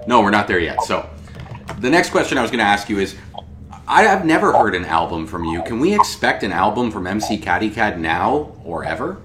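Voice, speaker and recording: A man's voice: on the low side, sing-song, fairly quick, a bit bright, a little hiss or hum. A man hosting a podcast, talking in an enthusiastic, rambling way full of fillers. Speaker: podcast host. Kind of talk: podcast interview.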